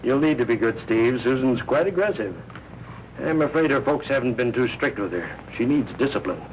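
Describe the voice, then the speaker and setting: A man's voice: mid-range voice, animated, normal speed, a little mellow, muffled recording, natural voice. Man acting a genial, hesitant newspaper owner. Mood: hesitant, coaxing. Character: mild, hesitant older male newspaper owner, doting uncle. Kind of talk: 1940s film acting. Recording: noisy 1940s film soundtrack.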